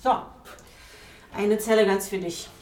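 An actress playing a gruff, by-the-book woman prison warder in a radio drama, curt and bossy. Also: medium pitch, steady tone, measured pace, lightly acted. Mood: matter-of-fact, grumbling. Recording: echoey prison room, distant, noisy.

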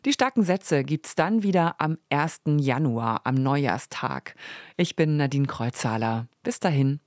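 A woman's voice: deep voice, expressive, unhurried, darkish tone, dry recording, clean studio sound. A woman presenting a radio book programme and interviewing a guest. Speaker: radio host.

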